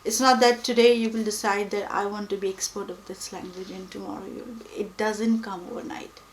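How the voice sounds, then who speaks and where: A young woman whose first language is Hindi, talking reflectively and pausing often with fillers. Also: medium pitch, level tone, slight background noise. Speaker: book vlogger. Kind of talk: reflective personal talk to camera. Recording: echoey room with faint ambience.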